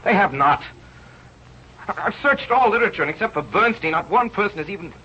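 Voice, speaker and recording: An actor playing an earnest, driven young research scientist, with intense delivery in an old radio drama. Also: male voice, high voice, lively intonation, a little fast, bright, forceful tone, slight background noise. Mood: distraught. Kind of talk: 1930s-40s radio drama acting and first-person narration. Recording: muffled old radio recording with music behind.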